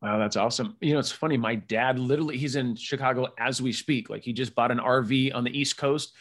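A man's voice: mid-range voice, fairly even intonation, brisk, fairly bright tone. A man hosting a podcast, chatting casually and upbeat with his guest, full of asides. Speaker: podcast host. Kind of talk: casual interview chat.

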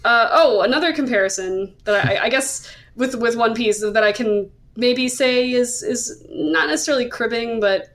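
A woman's voice: a little high, normal speed, hardly any pauses. A woman hosting a podcast, talking casually about comics in a hesitant, self-qualifying way. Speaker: podcast host. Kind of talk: casual discussion of manga.

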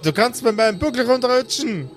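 A man's voice: very high-pitched, lively intonation, brisk, soft background music. A man playing a grumpy, stubborn, abusive woman who rants and hurls insults in an improvised audio play. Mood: belligerent. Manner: ranting, insulting, belligerent.

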